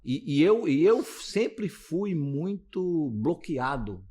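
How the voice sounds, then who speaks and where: A man's voice: fairly high pitch, very animated, slow pace, mellow, husky. A man in his late fifties with a Brazilian accent, a physiotherapist being interviewed, talking casually and rambling with many restarts. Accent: Brazilian Portuguese. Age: 50s. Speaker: physiotherapist guest.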